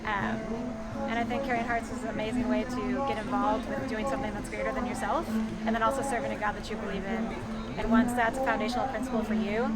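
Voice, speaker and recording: Fervent woman speaking over loud music. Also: a little high. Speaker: supporter. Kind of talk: faith testimonial.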